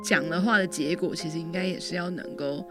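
A woman's voice: lowish voice, quick pace. A woman with a Taiwanese Mandarin accent speaks as an academic guest in a casual interview, phrasing her thoughts carefully and tentatively. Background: music.

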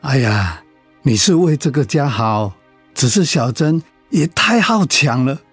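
A man playing a weary, proud elderly father in a radio drama, with a husky voice. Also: medium pitch, expressive, a little slow, no room sound, faint music behind. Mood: exasperated. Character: weary elderly father, proud but pleading, soothing husband.

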